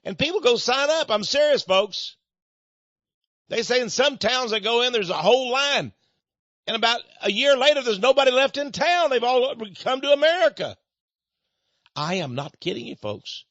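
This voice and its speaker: A man's voice: extremely high pitch, big pitch swings, normal speed, fairly bright tone, dry recording, dull audio. A man hosting a radio talk show, delivering a rambling, sarcastic monologue straight to his listeners. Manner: sarcastic, mocking; rambling, addresses listeners as folks. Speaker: radio host.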